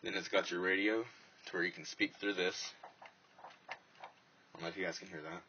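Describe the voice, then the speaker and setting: A man's voice: low-pitched, level tone, a little fast, sharp tone. A plain-spoken man giving a casual hands-on walkthrough as an informal vlogger, recorded on a handheld camera around a truck. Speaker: vlogger demonstrating an install. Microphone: handheld phone or small camera. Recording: muffled, noisy handheld camera audio.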